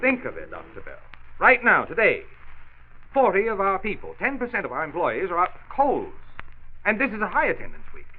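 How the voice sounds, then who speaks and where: An actor playing a hot-tempered, driven factory owner in a 1940s radio drama, blustering and emphatic. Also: male voice, sits high, huge pitch swings, brisk, heavily acted. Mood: earnest, pressing. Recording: muffled, noisy 1940s radio transcription.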